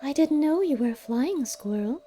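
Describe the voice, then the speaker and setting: A reserved female cat, voiced by a woman narrator, high and very mellow, with unhurried delivery. She sounds cool, curious and a little skeptical, as a storybook observer. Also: expressive, faint music behind. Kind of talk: audiobook character voice.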